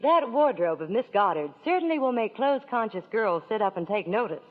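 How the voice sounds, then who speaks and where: A woman's voice: on the high side, very animated, brisk. A woman with an American accent reading chatty, scripted 1940s radio advertising as a Hollywood reporter. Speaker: Hollywood reporter in a sponsor spot. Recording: muffled old radio, long reverberation.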